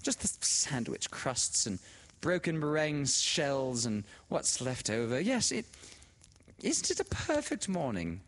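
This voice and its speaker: A man voicing an excitable, gushing, well-to-do teenage girl in a storybook reading, breathless and eager. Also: mid-range voice, unhurried, big-room sound, pitched above the performer's own voice. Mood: gushing, delighted.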